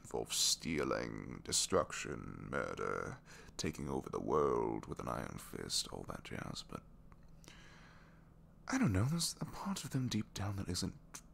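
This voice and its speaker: A captured supervillain played by a man confides in a low voice that swings expressively up and down. He is bashful, self-deprecating and smitten, halting and dropping into whispers as in an intimate ASMR roleplay. A faint hum sits behind him. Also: huge pitch swings, vocal fry, a bit bright, full character voice. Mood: defensive, musing. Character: captured young male supervillain, reluctantly evil, bashful and lovestruck. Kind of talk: ASMR romantic audio roleplay.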